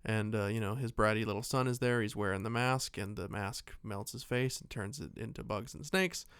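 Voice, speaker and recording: Smooth-voiced man casually recapping films. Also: on the low side, moderate pace, a bit bright, no echo, clean studio sound. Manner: rambling, profane, joking. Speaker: podcast co-host. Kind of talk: casual comic film recap.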